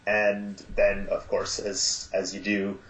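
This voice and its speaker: A man chatting as a podcast guest. He talks haltingly, with many fillers, and livens up when he retells a story. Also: fairly low pitch, monotone, fairly bright tone. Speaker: guest, audio drama producer. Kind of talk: casual podcast chat. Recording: roomy, dull sound.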